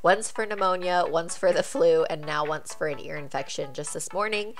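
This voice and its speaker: A woman's voice: on the low side, moderate pace, soft background music. A woman in her thirties, a bit congested, chatting candidly through a home declutter vlog voice-over. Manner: chatty and candid, friendly asides to viewers. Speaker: home vlogger.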